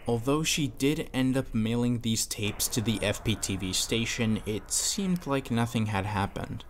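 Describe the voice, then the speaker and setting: A man's voice: mid-range voice, animated, measured pace, a little hiss or hum. A man telling a horror story in conversational scripted narration. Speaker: narrator of a horror series explainer video.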